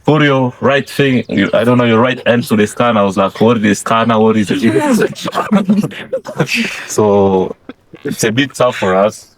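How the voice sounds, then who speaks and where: A young man from Congo, a French speaker, explaining things in a relaxed, chuckling way. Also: mid-range voice, unhurried, rough recording. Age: young adult. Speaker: international student.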